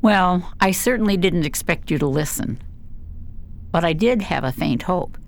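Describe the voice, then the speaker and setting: Woman acting a low-voiced, weary woman scientist. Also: expressive, moderate pace, vocal fry. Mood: bitter, sardonic. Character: principled, weary, pessimistic woman scientist at an alien colony. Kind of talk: science fiction radio drama acting.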